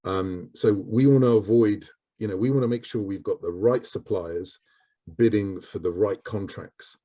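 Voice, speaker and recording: A man's voice: on the low side, normal speed, warm tone. A man answering questions on a video call panel, hesitant and full of "um"s. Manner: hesitant, many ums.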